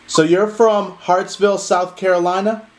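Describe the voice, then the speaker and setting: A male interviewer asks his guest questions politely and at an even pace. His voice sits slightly high, and faint background noise runs under it. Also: normal speed. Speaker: interview host. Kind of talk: hosting an interview.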